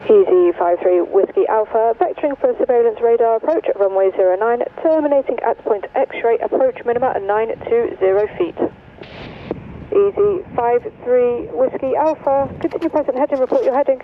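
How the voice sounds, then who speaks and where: A woman's voice: fairly high pitch, moderate pace. A woman air traffic controller giving clipped, procedural talk-down instructions over aviation radio. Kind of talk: radar talk-down radio phraseology. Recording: tinny, noisy aviation radio transmission.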